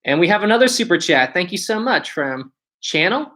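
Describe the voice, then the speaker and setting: Bright-voiced man with West Virginia accent. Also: high voice, very animated, fairly quick. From West Virginia, United States. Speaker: livestream host. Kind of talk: casual livestream chat, answering viewer comments.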